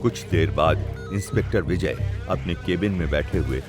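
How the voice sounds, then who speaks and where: A man's voice: deep voice, moderate pace. A man narrating a scripted mystery story in the third person. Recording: music behind the voice. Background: music.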